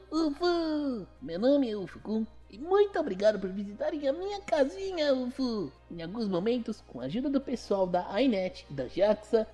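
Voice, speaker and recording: High, bubbly little mascot. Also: female voice, warm tone. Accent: Brazilian. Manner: bubbly children's presenter with a verbal tic. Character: cute small childlike planetarium mascot, cheerful and chatty. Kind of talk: scripted children's educational show in audio drama.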